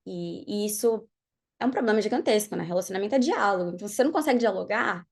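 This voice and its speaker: A young woman from Brazil, a podcast guest, chatting casually and telling her story in an animated way, laughing often. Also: mid-range voice, racing, breathy edge. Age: young adult. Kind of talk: casual interview chat. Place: podcast studio.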